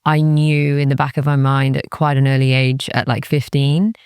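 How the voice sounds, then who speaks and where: A woman's voice: a bass voice, level tone, moderate pace, darkish tone, clear, resonant tone, dry recording, clean studio sound. A woman from Cardiff, Wales, speaking as an interview guest in a candid, serious, reflective conversational way, often quoting her own thoughts. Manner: candid, serious, peppered with "like" and quoted inner thoughts. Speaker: interview guest, music industry executive.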